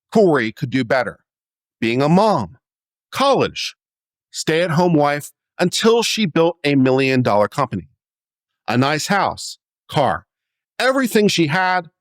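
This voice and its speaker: A man reading a letter aloud as a dramatic performance, in a theatrical, expressive manner. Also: fairly high pitch, no room sound, studio quality. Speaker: reader of a letter.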